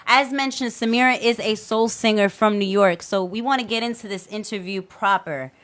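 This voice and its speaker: A woman's voice: mid-range voice, normal speed. A woman hosting a podcast, introducing a guest with enthusiasm and asking her about her life. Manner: hyped, enthusiastic, shouting out the guest. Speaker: podcast host.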